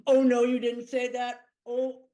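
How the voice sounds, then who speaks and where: A woman's voice: a little high, completely flat delivery, a little slow, warm tone, lightly acted. A woman playing a wistful unsold teddy bear. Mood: longing. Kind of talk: improv comedy scene.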